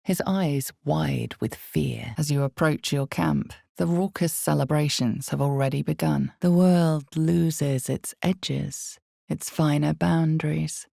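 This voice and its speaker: A woman performing as an ominous storyteller in a dark fantasy game, narrating in a deliberate, suspenseful, theatrical way with breathy drops toward a whisper. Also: low voice, dry recording, studio quality, full character voice. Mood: grave, suspenseful. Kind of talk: dramatic second-person fantasy game narration.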